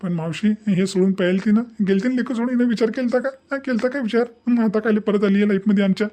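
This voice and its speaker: A man's voice: sits high, fast talker, mellow, close to an everyday voice. A man voicing a hurt, unforgiving young husband in a cartoon drama, firm and protesting. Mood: indignant. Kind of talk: Marathi cartoon moral drama dialogue.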